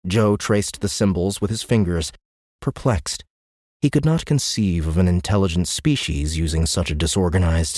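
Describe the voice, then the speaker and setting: A man's voice: low-pitched, a little mellow, no room sound, clean studio sound. A man reading scripted narration of a science fiction novel as an audiobook narrator. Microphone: close studio microphone.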